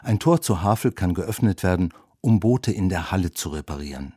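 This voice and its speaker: Deep, husky male narrator. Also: animated, a little fast, dark tone, dry recording, studio quality. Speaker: reporter narrating a radio feature. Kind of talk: scripted narration.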